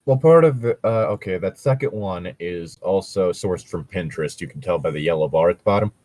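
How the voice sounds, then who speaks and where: A man's voice: deep voice, animated, fairly quick, darkish tone, no echo. A man co-hosting a comedic fan fiction read-aloud, chatting casually in a sweary, exasperated, sarcastic way, close to the microphone. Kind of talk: casual chat mixed with mocking read-aloud.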